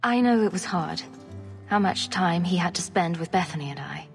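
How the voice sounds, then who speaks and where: An actress playing a steady, questioning young champion heroine in a naturalistic video game drama. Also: female voice, on the low side, moderate pace, gravelly, soft background music. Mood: reflective.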